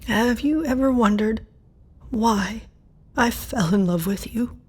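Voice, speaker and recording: Frail, breathy, slow-spoken dying woman. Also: fairly high pitch, a little mellow. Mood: wistful, fading. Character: frail dying woman, tender, stubborn, teasing. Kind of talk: audio drama acting.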